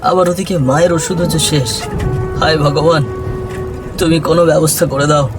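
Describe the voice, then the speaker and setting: A man playing a poor, devoted rickshaw-driver son, gentle and anxious, in a cartoon story. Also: on the high side, fairly even intonation, normal speed, natural voice. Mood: anxious, praying. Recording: loud background music.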